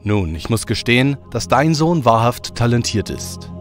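Guy playing a stiff formal god, even and measured, music under it. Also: mid-range voice, close to an everyday voice. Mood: earnest. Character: proud, reserved father god.

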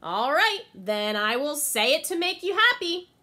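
A calm, clever little female deer from a storybook, as a woman reading aloud puts her on. She answers patiently and sounds innocent. Her tone is a touch raised, bright and lively. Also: high-pitched, unhurried, voice pushed up, heavily acted.

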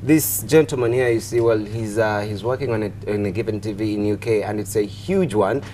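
A man's voice: lowish voice, a little fast, mellow. Chatty young Ugandan man, noisy recording. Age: young adult. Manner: chatty, repetitive, punctuated with "you know". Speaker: TV host. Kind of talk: casual chat interview. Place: TV studio. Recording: clearly audible background noise.

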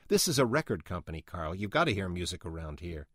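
Male reader as slick male music executive, pushy, coaxing, insistent, animated pitch, dry close sound, audiobook. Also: fast, husky, no room sound, heavily acted. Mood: coaxing, needling. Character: pushy, slick male record company man, manipulative.

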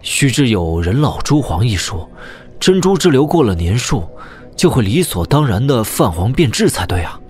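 A man's voice: mid-range voice, very animated, moderate pace, a little mellow, faint music behind, close to an everyday voice. A man acting a thoughtful, wry young martial hero in a Chinese audio drama, given to sighing and musing. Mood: puzzled.

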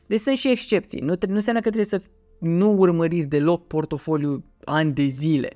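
A man in his thirties with a fairly high voice talks as a video host. He explains in a casual, conversational manner, often repeating words to stress a point. The sound is dry, with hardly any room in it. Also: steady tone, a little mellow, no echo, soft background music. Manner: conversational, rhetorical questions, repeats words for emphasis. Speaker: finance YouTuber, host. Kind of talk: casual explanatory talk to camera. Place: small dim home room, indoors.

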